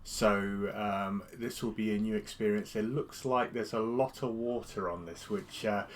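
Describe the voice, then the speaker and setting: A man with a stuffy cold, hosting a casual live stream with chatty, relaxed commentary as he plays. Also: fairly low pitch, unhurried, slight background noise. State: congested from a cold. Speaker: gaming streamer.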